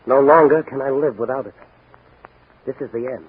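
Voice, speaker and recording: A man's voice: mid-range voice, normal speed, husky, narrow, tinny audio. An actor playing a brash, impatient young patrolman in 1940s radio drama style, on an old recording. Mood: grave, determined. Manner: eager, impatient, punchy delivery. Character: brash young rookie patrolman, secret masked crime fighter, eager for action.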